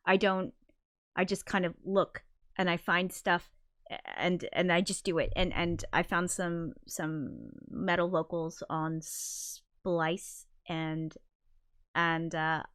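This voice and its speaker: A woman with a high voice talks casually on her livestream, reading out viewer comments. She rambles, restarts her sentences often and giggles between thoughts, close to a desk mic. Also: pushed and bright. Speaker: composer streaming live.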